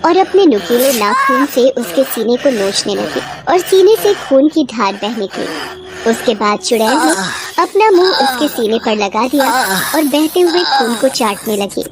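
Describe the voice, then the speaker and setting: A woman's voice: sits high, lively intonation, unhurried. A woman storyteller reading a scripted horror bedtime story. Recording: clear background ambience noise.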